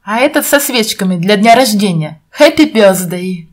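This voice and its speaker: A woman's voice: mid-range voice, lively intonation, moderate pace. A woman narrating an educational video for young children in an encouraging, sing-song teacher's manner, putting questions to the viewer. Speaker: presenter of a children's counting video.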